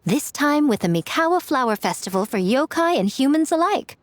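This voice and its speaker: A woman's voice: sits high, big pitch swings, brisk, no echo. A woman voicing a sly, elegant fox-spirit shrine maiden in a video game, coy, smug and playful in a polished manner. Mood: enthusiastic, scheming.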